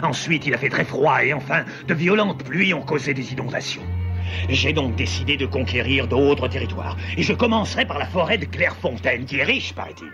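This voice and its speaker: A man's voice: medium pitch, fairly quick, lo-fi sound, over music, close to an everyday voice. A man voicing a boastful, menacing animal gang boss in a cartoon dub, imperious and commanding. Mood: domineering.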